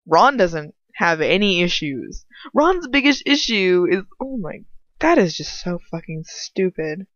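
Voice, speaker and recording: A woman's voice: on the low side, big pitch swings, a little slow, a bit bright, no echo. A woman commenting casually on a story in a rambling, blunt way, sarcastic and exasperated, swearing freely. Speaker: amateur fan fiction reader and commentator. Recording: dry, dull lo-fi home recording.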